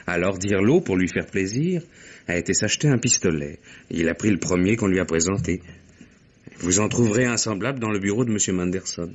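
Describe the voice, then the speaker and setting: An actor playing a brisk, self-assured private secretary, talkative and frank, in a mid-century French radio mystery. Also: male voice, low-pitched, expressive.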